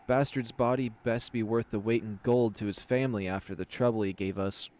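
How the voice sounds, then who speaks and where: A man's voice: lowish voice, fairly even intonation, brisk, darkish tone, close to an everyday voice. A man voicing a small, crude, greedy male cougar scout with a matter-of-fact manner in a visual novel. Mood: grumbling.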